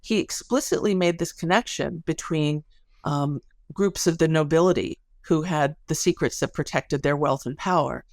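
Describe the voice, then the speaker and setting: Woman, gravelly fry, articulate, analytical interview guest, close dry sound. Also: deep voice, moderate pace, no room sound. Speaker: interview guest, sociology researcher. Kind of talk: explanatory interview talk.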